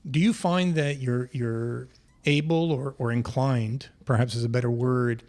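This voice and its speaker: A man's voice: mid-range voice, steady tone, unhurried, darkish tone. A man co-hosting a podcast, chatting casually and articulately, with a teasing edge. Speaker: podcast co-host. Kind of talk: casual chat.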